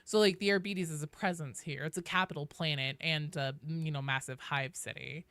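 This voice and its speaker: A woman's voice: low-pitched, steady tone, normal speed, a bit bright, no echo. A woman hosting a livestream, talking casually and explaining game lore with firm opinions and casual swearing. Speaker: live streamer host.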